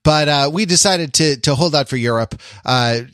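A man hosting a podcast in casual conversation, talking in a halting, digressive way as he thinks aloud. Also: on the high side, fairly bright tone, no room sound, studio quality. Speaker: podcast host.

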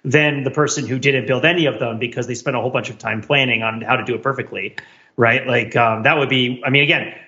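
Bright, forceful man debating casually. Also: mid-range voice, fairly even intonation, fast talker, highs cut off. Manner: questioning, argumentative. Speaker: podcast co-host.

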